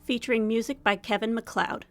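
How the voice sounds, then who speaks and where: A woman reads scripted closing credits for an audio drama. Her voice sits a little high, and music plays underneath her. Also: steady tone, a little fast. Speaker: credits announcer.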